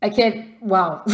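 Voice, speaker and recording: A woman's voice: mid-range voice, roomy sound. A woman hosting a podcast, an author interviewing a guest in a casual, enthusiastic manner with frequent laughter.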